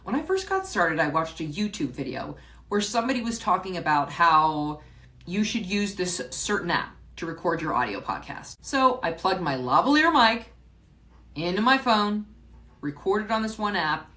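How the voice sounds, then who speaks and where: A woman's voice: medium pitch, sharp tone. A woman hosting her own podcast, talking alone to her listeners in a chatty way, often repeating words, restarting her sentences and laughing now and then. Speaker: podcast host. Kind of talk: solo podcast reflection.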